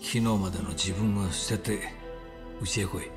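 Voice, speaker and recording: Stern middle-aged mob boss, low measured voice, music behind, game cutscene. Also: male voice, vocal fry. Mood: stern, grave. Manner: measured, authoritative, grave. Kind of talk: dramatic video game acting in Japanese.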